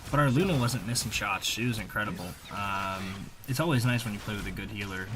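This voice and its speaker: A man's voice: fairly low pitch, brisk, noisy background. A man giving casual, rambling voice-over commentary on his own video game play, using informal gamer slang.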